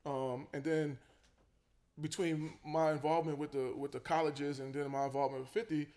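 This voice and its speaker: A man, a basketball coach telling his story as a guest in a casual podcast chat, relaxed and full of fillers and restarts. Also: a little high, moderate pace. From Queens, New York.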